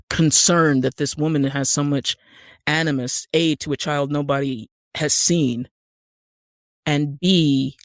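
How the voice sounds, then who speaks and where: A woman's voice: low voice, dry recording. Podcast guest: American woman, conversational, explains step by step, very dry close recording. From Utah, United States. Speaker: podcast guest, screenwriter and actor. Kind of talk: conversational interview.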